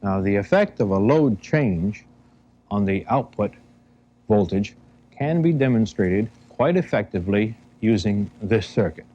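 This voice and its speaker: An American man narrating an instructional training film, explaining step by step in measured, deliberate phrases. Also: lowish voice, animated, dark tone. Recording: dull, band-limited 1970 film soundtrack.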